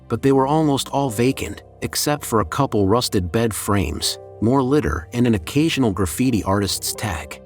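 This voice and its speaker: A man narrating a scripted first-person horror story in a measured, deliberate way, as a storyteller. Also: medium pitch. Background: background music.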